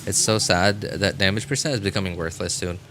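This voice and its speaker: Bright-voiced man casually livestreaming a game. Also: deep voice, steady tone, a little fast, slight background noise. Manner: rambling, repeats phrases while thinking aloud. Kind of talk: casual live gameplay commentary, chatting with viewers.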